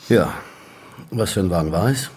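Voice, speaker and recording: Very deep-voiced, morose police inspector. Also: male voice, lively intonation, normal speed, a little mellow, a little hiss or hum. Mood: brusque, dismissive. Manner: grumbling, brooding, sardonic. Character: morose, hypochondriac, big middle-aged male police inspector. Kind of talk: radio drama acting.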